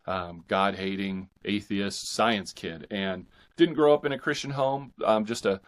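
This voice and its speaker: Low-pitched American man in his forties. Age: 40s. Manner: halting, thinking aloud, enthusiastic. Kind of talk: casual interview chat.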